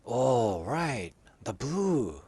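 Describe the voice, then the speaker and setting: A warm, worried red orc from a children's storybook, as a man puts him on, pleading and calling out for his friend. His voice lifts higher than usual and swings widely in tone. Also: sing-song, slow, no room sound, full character voice. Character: worried kind-hearted male red orc.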